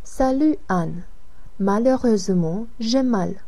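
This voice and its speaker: A woman's voice: medium pitch, lively intonation, slow, mellow, poor audio. A woman reading the part of Hélène, an adult woman sick with a cold and fever, in a scripted lesson dialogue. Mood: unwell. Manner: careful, evenly paced reading.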